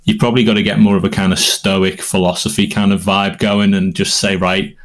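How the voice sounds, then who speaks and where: Brief: Liverpool man, low voice, songwriter musing loosely on craft. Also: level tone, brisk. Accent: Liverpool English. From England. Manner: thoughtful, rambling, with fillers and restarts. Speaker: songwriter and podcast co-host. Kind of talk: conversational interview answers.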